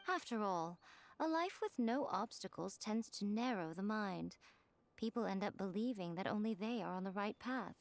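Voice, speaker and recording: A woman's voice: medium pitch, animated, normal speed, natural voice. Woman playing a sly, teasing editor, expressively lilting. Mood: smug. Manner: silky, self-assured, playfully condescending. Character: poised, sly, teasing female chief editor. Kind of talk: video game voice acting.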